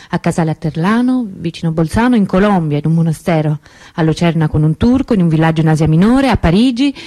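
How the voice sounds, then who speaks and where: A woman performing a radio theatre monologue as the anguished older sister of a missing girl, speaking emphatically and conversationally, with deliberate pauses. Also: deep voice, dark tone. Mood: weary, bitter.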